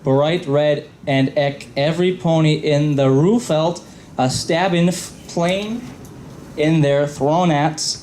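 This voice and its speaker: A man reading a story aloud haltingly as a panelist at a live event. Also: a little high, a little mellow. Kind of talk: halting live read-aloud of comic fan fiction. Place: convention panel room. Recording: faint room noise.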